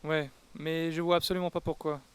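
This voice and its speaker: A man acts out a protective young group leader in an audio drama. His voice is high and very clear, and he takes charge in a firm, parental, reasonable manner. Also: flat delivery, brisk, no room sound, natural voice. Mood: calm, troubled. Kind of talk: audio fiction acting.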